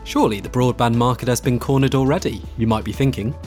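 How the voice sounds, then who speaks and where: A man's voice: medium pitch, quick pace, a little mellow, soft background music. A man hosting a podcast, reading a scripted introduction. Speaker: podcast host.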